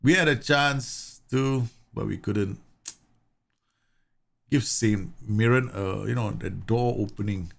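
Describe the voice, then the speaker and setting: Male streamer from Singapore, slightly low-pitched, relaxed running commentary. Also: slow, vocal fry. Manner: chatty, laughs often, reads game messages aloud. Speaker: gaming streamer. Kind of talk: casual live game commentary.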